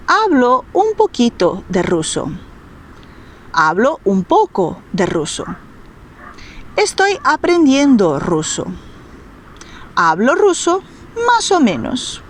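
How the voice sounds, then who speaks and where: A woman teaching beginner Spanish vocabulary, speaking clearly and slowing down for the example phrases. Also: on the high side, a little hiss or hum. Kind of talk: language lesson for beginners.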